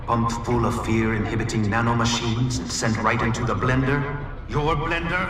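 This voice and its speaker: A man's voice: mid-range voice, natural voice. An actor playing a cynical, swaggering swordsman villain in a video game, mocking and theatrical. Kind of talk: dramatic video game cutscene monologue. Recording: echoing hall, distant, loud music behind.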